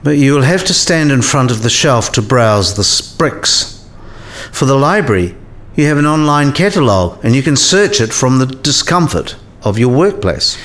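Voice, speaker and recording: A learned male academic voiced by an actor with a teasing, mock-formal wit in a radio play. His pitch swings widely around a middle register. He explains things like a pedantic lecturer. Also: big pitch swings, normal speed, fairly bright tone. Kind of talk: scripted radio play dialogue.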